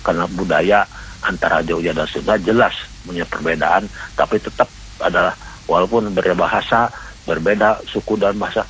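A man with a low voice speaks as a radio caller over a faintly hissy line. He rambles earnestly, repeating himself and halting as he urges his point. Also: fairly even intonation, fairly bright tone, low-quality recording. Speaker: radio call-in listener. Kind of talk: rambling earnest opinion talk.

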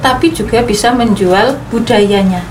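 A woman explains her points earnestly, pausing on frequent fillers. Her voice sits fairly high. A steady background noise runs beneath her speech. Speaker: guest speaker, regional official. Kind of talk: panel discussion.

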